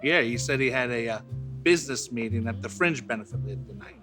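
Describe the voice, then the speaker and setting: A man playing a chatty bartender who talks in a drawn-out, conversational way. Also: mid-range voice, music in the background, natural voice. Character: folksy male bartender, chatty and gossipy.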